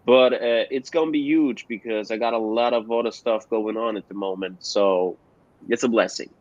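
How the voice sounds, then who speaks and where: A man from Germany, a guest musician answering interview questions haltingly with many fillers over a remote call. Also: fairly low pitch, a little mellow, no room sound. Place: at home in Germany, joining remotely. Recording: dull, dry remote call audio.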